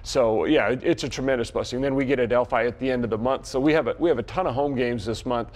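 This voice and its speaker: Male, middle-aged, American. Folksy coach in a sports interview, medium pitch, repeats himself as he explains. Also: fast, a little mellow. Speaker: softball coach. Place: small indoor locker-style studio set.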